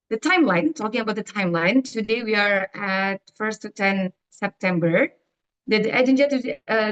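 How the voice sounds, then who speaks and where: A woman's voice: fairly high pitch, fairly bright tone, highs cut off. A young woman with an Indonesian accent, speaking English as a second language, presenting an online orientation with many fillers and restarts. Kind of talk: presenting on a video call. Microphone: video call.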